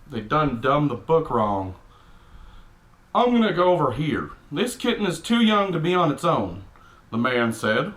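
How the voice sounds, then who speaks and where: A man's voice: fairly high pitch, fairly bright tone. A man putting on a cranky middle-aged Texan dad with a Texan accent, reading a picture book aloud with blunt, exasperated asides. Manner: grumpy, exasperated, blunt, mildly profane. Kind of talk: comic character storybook reading with grumbling asides.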